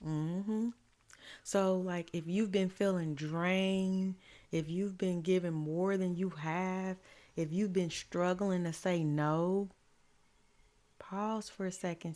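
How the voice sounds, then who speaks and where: A woman life coach with a slightly hoarse, raspy voice, giving slow, encouraging faith-based advice. She repeats her key lines and adds affirming "mm-hmm" asides. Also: on the low side, a little mellow. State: voice a bit hoarse.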